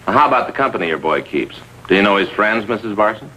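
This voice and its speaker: An actor playing a concerned American police detective, direct and questioning, in a 1950s radio drama. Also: male voice, fairly low pitch, expressive, fast, sharp tone, low-quality recording. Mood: polite, probing. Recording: old radio recording, faint noise.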